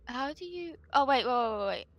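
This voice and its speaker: A woman's voice: high voice, lively intonation, fairly quick, highs cut off. A woman playing in a tabletop game, flustered as she works out the controls. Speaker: player. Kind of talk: casual game chat.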